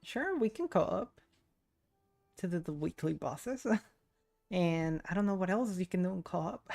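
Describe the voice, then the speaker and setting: Woman gaming streamer, lowish creaky voice, casual, chatty, self-deprecating, faint game music behind. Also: animated, measured pace, a little mellow. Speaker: livestreamer, VTuber. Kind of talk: casual chat with viewers while gaming. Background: game audio and music.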